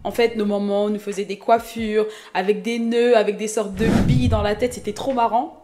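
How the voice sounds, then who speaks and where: A woman's voice: mid-range voice, fairly even intonation, moderate pace, over music. A woman in her thirties from Haiti, chatting casually and self-mockingly to her viewers as she introduces herself. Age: 30s. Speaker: YouTube vlogger.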